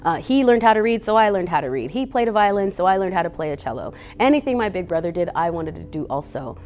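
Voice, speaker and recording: A woman's voice: on the low side, animated, fast talker, darkish tone, a little hiss or hum. A woman speaking earnestly and unhurriedly to children as a guest reader, with a few fillers. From Boise, Idaho. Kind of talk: gentle talk to kids.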